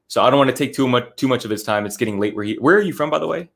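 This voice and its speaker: Host voice: relaxed, friendly man with a middle-pitched voice, chatting casually. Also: very fast, creaky. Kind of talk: casual live-stream conversation.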